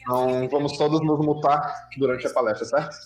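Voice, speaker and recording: A man's voice: medium pitch, steady tone, a little fast, roomy sound. A man with a Brazilian accent casually hosting and moderating an online event over a video call.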